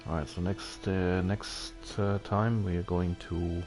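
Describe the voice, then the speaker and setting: Slow, low-voiced man commentating a game. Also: level tone. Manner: halting, musing, puzzled asides. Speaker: gaming video host. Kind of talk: casual gameplay commentary, thinking aloud, reading game text. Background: game music.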